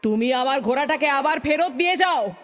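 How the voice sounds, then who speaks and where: A woman's voice: high-pitched, expressive, fairly quick, a bit bright. A woman voicing a menacing, furious, greedy witch, a cartoon villain. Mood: furious, threatening. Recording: long reverb, music behind the voice.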